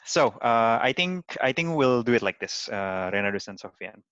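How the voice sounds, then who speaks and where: A man's voice: medium pitch, expressive, normal speed, a bit bright, clean tone, no echo. A man moderating an online panel. He is hesitant, with many "uh"s and restarted phrases. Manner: hesitant, many "uh"s and repeated starts. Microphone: video call. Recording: dry, dull lo-fi audio.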